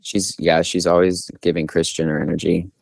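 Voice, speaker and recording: A man's voice: sits low, level tone, normal speed, vocal fry, a little mellow, no room sound. A man in his twenties from Oklahoma with an American accent, answering casually as a guest in a radio interview. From Oklahoma, United States. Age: 20s. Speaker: interview guest, singer-songwriter.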